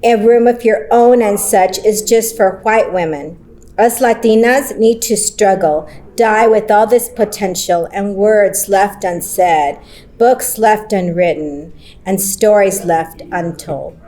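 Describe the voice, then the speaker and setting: A woman on a conference panel reading her own short creative story aloud, slow and deliberate, with Spanish phrases woven into her English. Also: mid-range voice, warm tone. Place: conference roundtable session.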